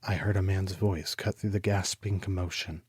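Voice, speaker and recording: An actor playing a troubled homicide detective, narrating his case in a deliberate, brooding, literary style for an audio drama. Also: deep voice, fairly even intonation, a little mellow, husky, no room sound, clean studio sound. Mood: bitter, weary. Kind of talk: scripted first-person noir audio drama narration.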